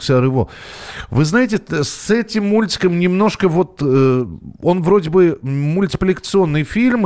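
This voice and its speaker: A man with an average-pitched voice hosts a casual live phone-in show. He speaks informally and hesitantly, working out his thoughts as he goes. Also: darkish tone. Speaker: radio host.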